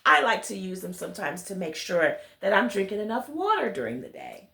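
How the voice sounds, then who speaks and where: A woman's voice: mid-range voice, very animated, a little fast, vocal fry, pushed and bright. A woman reading a storybook aloud to young children, speaking to them directly with questions and exclamations. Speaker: children's read-along storyteller, host. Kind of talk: storybook reading with chatty asides to young listeners. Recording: roomy, echoey sound.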